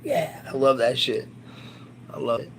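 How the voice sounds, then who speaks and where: A man's voice: medium pitch, a little slow, fairly bright tone, a little hiss or hum, poor audio. A man chatting casually to his viewers on a livestream, rambling, boastful and crude. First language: English.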